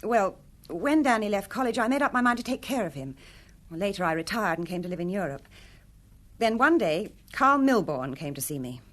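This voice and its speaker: A woman's voice: mid-range voice, very animated, a little fast, close to an everyday voice. A woman playing a retired film star in a radio drama, imperious and defensive, with formal, troubled delivery. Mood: confessional, troubled.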